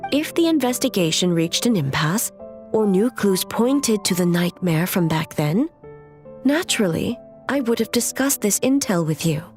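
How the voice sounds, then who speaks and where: An actress voicing a composed, stern female shogun in an anime game, with measured, formal and authoritative speech. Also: medium pitch, animated, a little mellow, soft background music, close to an everyday voice. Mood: calm, guarded.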